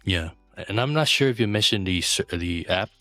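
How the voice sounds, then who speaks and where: A man hosting a business interview podcast, reading a prepared introduction and sponsor lines at a steady pace before asking questions. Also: fairly low pitch, brisk, dry recording, soft background music. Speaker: podcast host.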